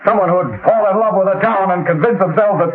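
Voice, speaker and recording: An actor playing an earnest, bewildered small-town mayor in an old-time radio drama, speaking haltingly and theatrically. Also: male voice, sits high, a crowd in the background, natural voice. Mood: shocked, dismayed. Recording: muffled vintage radio recording, faint music.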